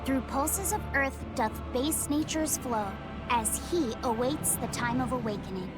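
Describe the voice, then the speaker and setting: High-pitched, earnest little boy. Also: unhurried, over loud music. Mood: solemn reciting. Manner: hesitant, earnest. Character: young boy pupil, earnest, shy and eager. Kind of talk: video game cutscene acting.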